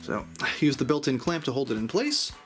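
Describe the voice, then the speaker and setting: A man's voice: mid-range voice, big pitch swings, a little fast, faint music behind. A man narrating a casual, step-by-step tutorial in a conversational, mildly self-deprecating way. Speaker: host of an audio gear channel.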